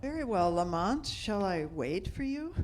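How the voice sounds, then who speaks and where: A woman's voice: mid-range voice, sing-song, a little slow, a little mellow. Woman playing concerned lady sidekick, 30s radio serial, earnest, lots of room reverb. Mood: anxious, devoted. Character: concerned, loyal lady companion of a sleuth. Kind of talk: 1930s radio acting. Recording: echoey hall, long reverb.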